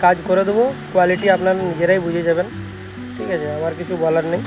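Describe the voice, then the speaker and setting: A man's voice: high voice, steady tone, fast, lo-fi sound. A man with a West Bengal Bengali accent, narrating a casual vlog as a repair technician, informal and given to repeated tag phrases. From West Bengal, India. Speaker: speaker repair technician and channel host. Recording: music playing behind the voice. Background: music.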